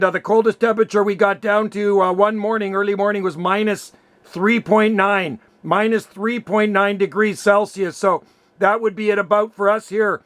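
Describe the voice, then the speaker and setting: High-pitched man, smooth and clear. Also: fairly bright tone, no room sound. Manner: chatty, uses radio jargon. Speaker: amateur radio operator. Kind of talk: casual radio chat.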